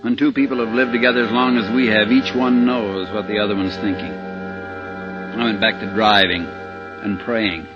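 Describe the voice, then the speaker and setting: A man's voice: on the low side, close to an everyday voice. A man playing an anxious middle-aged husband driving at gunpoint, in folksy, tense 1950s radio suspense style. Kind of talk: 1950s radio suspense acting with first-person narration. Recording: muffled old radio recording with music behind.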